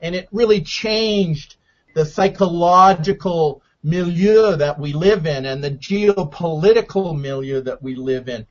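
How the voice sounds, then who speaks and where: Male radio interview host, slightly high voice, measured and deliberate delivery, dull lo-fi recording. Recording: dull lo-fi sound.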